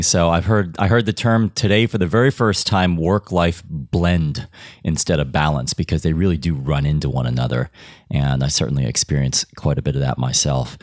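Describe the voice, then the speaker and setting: A man hosting an interview, asking questions in a measured, polite and affirming way. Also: low voice, no room sound, studio quality. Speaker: podcast host, interviewer.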